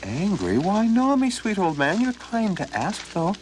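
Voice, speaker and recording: A man's voice: sits high, wildly swooping pitch, a little slow, a little hiss or hum, voice pushed up, heavily acted, rough recording. A man voicing a clever, cheeky young brother with a jaunty folksy manner.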